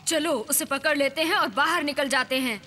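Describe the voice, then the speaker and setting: A woman playing a feisty, stubborn young adventurer in a cartoon dub, blunt and animated. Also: high-pitched, moderate pace. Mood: urgent.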